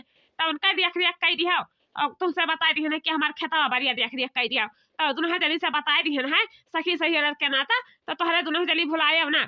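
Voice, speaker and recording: A woman's voice: sits high, highs cut off, close to an everyday voice. A woman playing a bossy, fretful village housewife in a comedy cartoon, chatty, scolding and repetitive, with an Awadhi accent.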